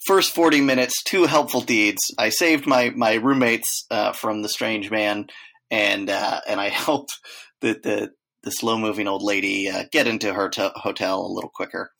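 A man's voice: lowish voice, steady tone, normal speed, creaky, sharp tone. A man co-hosting a casual chat, telling rambling travel stories with lots of fillers and acted-out quotes. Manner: rambling storyteller, acts out quotes, many fillers.

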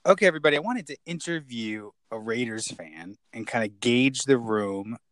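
A man's voice: mid-range voice, lively intonation, moderate pace, heavy background noise. A man hosting a casual podcast interview, playful and wisecracking. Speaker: podcast host.

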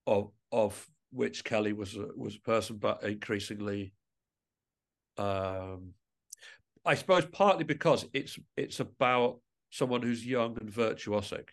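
A man's voice: fairly low pitch, a bit bright. A middle-aged man speaking as an interview guest in a conversational way, thoughtfully and with hesitant rephrasing. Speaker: guest musician, composer and writer.

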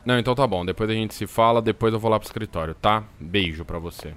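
A man's voice: fairly low pitch, fast, a bit bright, a little hiss or hum, close to an everyday voice. A Brazilian man playing a friendly, appreciative businessman in an audio drama. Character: appreciative, friendly businessman, adult male client.

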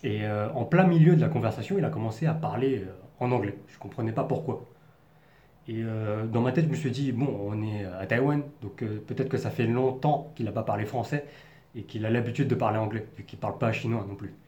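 Young man from the Paris region, vlogger, earnest and searching for words, warm dark tone, roomy sound. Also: fairly low pitch, brisk, dull audio. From France, Paris region. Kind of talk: personal storytelling to camera. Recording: echoey room.

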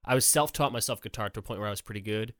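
Casual: chill 20s guy, low-ish voice, jokes a lot, dry close sound. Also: no echo. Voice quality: relatively high voice for a man. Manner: relaxed, joking, quick to laugh. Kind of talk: casual podcast chat.

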